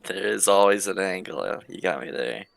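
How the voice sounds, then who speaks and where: A man with a gravelly voice chatting casually as a player in a tabletop roleplaying game. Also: low voice, expressive, normal speed, a bit bright, no echo, faint music behind.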